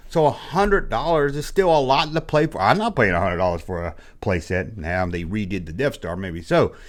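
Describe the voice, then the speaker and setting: A man hosting a toy collecting channel, ranting bluntly in a casual, exasperated way, his voice hoarse from being sick. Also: lowish voice, lively intonation, moderate pace. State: hoarse, losing his voice after illness. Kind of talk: casual opinion rant to camera.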